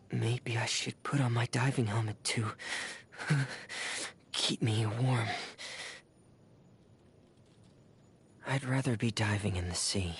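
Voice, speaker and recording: A shy teenage boy diver, game character, slow, soft near-whisper with creaky edges, melancholy, reserved. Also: mid-range voice, exaggerated voice. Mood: gentle, subdued. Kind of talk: subdued video game character voice lines.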